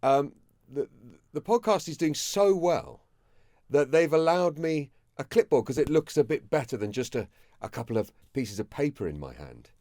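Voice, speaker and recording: A man co-hosting a podcast, playful and quick to laugh and chime in. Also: fairly high pitch, lively intonation, warm tone, no room sound, studio quality. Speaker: podcast co-host. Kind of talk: casual chat.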